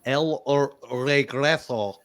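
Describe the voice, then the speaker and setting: A man's voice: medium pitch, takes it slowly, a bit bright. A man hosting a casual sports podcast, joking and poking fun at himself as he stumbles over foreign words. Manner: joking, self-mocking, fumbles foreign words. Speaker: podcast host.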